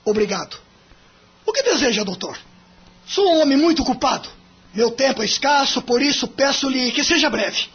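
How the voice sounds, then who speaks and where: Male actor as impatient wealthy businessman, very high voice, brusque, dismissive, husky edge, audio drama. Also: animated, normal speed, a bit bright, lo-fi sound, heavily acted. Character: curt, impatient wealthy businessman seeking divorce.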